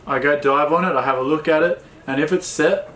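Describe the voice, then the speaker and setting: An Australian man co-hosting a sailing chat, talking in a relaxed, plain way with many fillers. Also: a little high, fairly quick, reverberant, slight background noise. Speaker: sailing vlog co-host. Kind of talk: casual Q&A chat.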